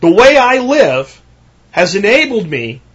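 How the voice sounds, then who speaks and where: A man's voice: high voice, big pitch swings, a little slow, a bit bright, breathy edge, lo-fi sound. A man in his thirties hosting a solo podcast, talking in an emphatic, ranting way that repeats points for effect. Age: 30s. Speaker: podcast host.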